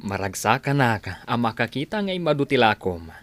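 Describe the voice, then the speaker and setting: A man's voice: medium pitch, sing-song, moderate pace, fairly bright tone. A man playing an easygoing, generous village husband who lends money, plain-spoken in an Ilocano radio comedy drama. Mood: encouraging.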